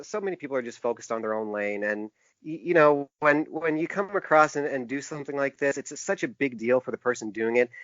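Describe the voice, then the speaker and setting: A middle-aged man speaking casually as an interview guest, in a hesitant, halting, self-reflective way. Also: on the high side, fairly bright tone, no echo, highs cut off. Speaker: podcast guest, author and small publisher.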